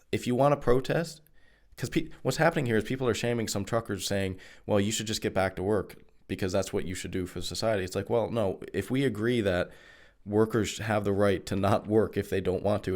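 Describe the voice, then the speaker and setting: Man, canadian accent, low voice, earnest, podcast host. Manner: earnest, conversational, with quick restarts. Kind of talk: podcast interview.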